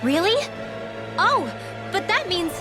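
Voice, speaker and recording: A young woman companion in a fantasy game, speaking in a high, bright-spirited voice. She is curious and caring toward her friends, in an anime-dub style over clearly audible music. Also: very animated, takes it slowly, full character voice. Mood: curious, worried.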